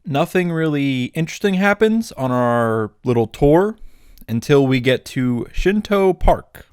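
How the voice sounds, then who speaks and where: A man's voice: mid-range voice, expressive, measured pace, a little mellow, clean tone, no echo, clean studio sound. A man recapping a visual novel as a host, wry and irreverent, with joking asides and swearing for comic effect. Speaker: video game summary host. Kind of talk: sarcastic story recap with asides.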